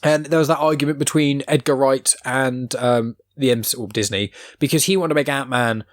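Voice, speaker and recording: A man's voice: mid-range voice, fairly quick. A man hosting a casual podcast chat, talking enthusiastically and opinionatedly in rambling sentences. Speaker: podcast host.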